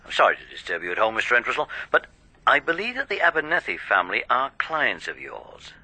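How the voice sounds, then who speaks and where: An actor playing a businesslike, polite police inspector in a radio drama, brisk, official and courteous. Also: male voice, medium pitch, wildly swooping pitch, sharp tone, breathy edge, no echo, exaggerated voice.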